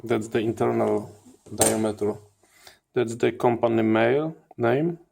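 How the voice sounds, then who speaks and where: Man, electronics teardown host, flat intonation, gravelly creak, halting delivery. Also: mid-range voice, slight background noise. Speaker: host of a radio electronics channel. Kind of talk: hands-on teardown commentary.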